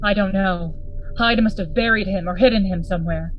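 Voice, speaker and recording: A woman's voice: medium pitch, brisk, dull audio, slight background noise, natural voice. A woman acting a grave, commanding Victorian friend investigating a horror in a gothic radio drama, formal and urgent in manner. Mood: grim, puzzled.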